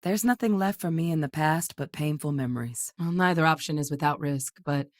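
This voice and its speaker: A steady, earnest scientist-soldier woman from a video game, voiced by an actress, her voice on the low side for a woman. She speaks in a measured, composed way, with a dry studio sound and no room around it. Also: no echo, close to an everyday voice. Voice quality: measured and composed, with sudden urgent shouts in combat. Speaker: game companion character.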